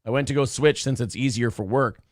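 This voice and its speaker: A man with a hoarse, raspy voice hosts a casual radio show, rambling through chat messages with restarts and swearing. His pitch sits in a middle range. The studio sound is dry and close. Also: brisk, dry recording. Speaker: radio show host reading live chat.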